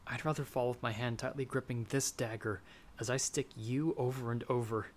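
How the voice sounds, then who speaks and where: A man playing a frightened but defiant young traveler in a dramatic audio roleplay, with breathless, halting, intense delivery. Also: mid-range voice, steady tone, natural voice. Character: frightened, defiant young male traveler turned half-vampire. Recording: noisy track with storm ambience.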